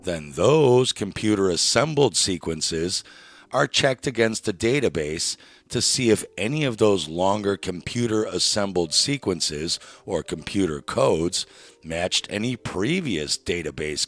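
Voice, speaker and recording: A man narrating a documentary in a scripted, wry and sardonic way, with dry asides. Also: deep voice.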